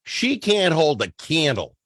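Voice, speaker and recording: A man hosting a radio talk show, bantering in an animated, teasing, mock-pleading manner. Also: a little high, a little slow, dry recording, studio quality.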